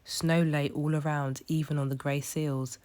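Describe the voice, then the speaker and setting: A woman's voice: low-pitched, little pitch movement, measured pace, darkish tone, clear, resonant tone. A woman storyteller reading a children's picture book aloud as scripted narration.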